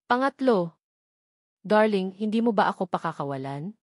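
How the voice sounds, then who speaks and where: A woman's voice: medium pitch, animated, moderate pace, no echo, studio quality, natural voice. A woman voicing a cocky, teasing male martial-arts warrior in audiobook dialogue.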